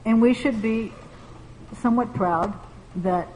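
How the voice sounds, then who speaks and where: A woman's voice: on the high side, a little mellow, muffled recording. Earnest woman leading a devotional group. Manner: earnest, halting, many fillers. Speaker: class discussion leader. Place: large room with a group. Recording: distant echoey hall, faint hiss.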